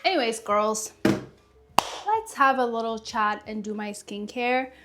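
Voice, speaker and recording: Woman in her thirties, from ethiopia, lifestyle vlogger, fairly high voice, rambling casual chat, fixes her own words. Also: animated, unhurried, very noisy. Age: 30s. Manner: chatty, rambling, corrects herself mid-sentence. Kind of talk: casual chat to camera while doing skincare. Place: at home.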